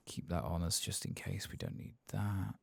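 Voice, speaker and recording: A man giving soft-spoken ASMR game commentary, murmuring and often slipping into whispers close to the microphone. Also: sits low, normal speed, creaky, breathy edge.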